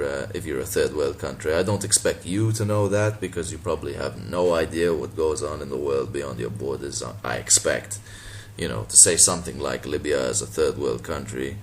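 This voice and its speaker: A man from Malta, a native Maltese speaker, with a deep voice, talking casually and sardonically in a response video, laughing and swearing as he goes. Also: moderate pace, hardly any pauses, slight background noise. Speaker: boxing channel vlogger.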